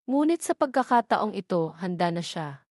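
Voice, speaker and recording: A woman reading scripted dramatic narration of a love story in a measured, sentimental manner. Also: mid-range voice, sing-song, a little mellow, no room sound, clean studio sound.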